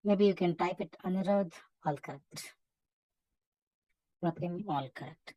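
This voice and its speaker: A woman with an Indian accent teaching a live online English class in a brisk, instructive way with short, clipped remarks. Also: on the low side, mellow, no echo, rough recording. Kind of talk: live interactive lesson, calling out names and marking answers.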